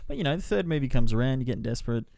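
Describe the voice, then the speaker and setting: A man with a mid-pitched voice talks through a film review on a podcast. His delivery is informal and rambling, with slangy wording, and the sound is dry with very little room. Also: animated, very fast, creaky, darkish tone, dry recording. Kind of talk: casual review chat.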